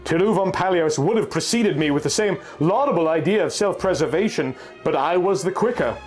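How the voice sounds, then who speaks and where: A man reading a first-person pulp horror story as a narrator, in a formal, measured, dramatic literary style. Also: a little high, animated, darkish tone. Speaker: audiobook narrator. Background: music.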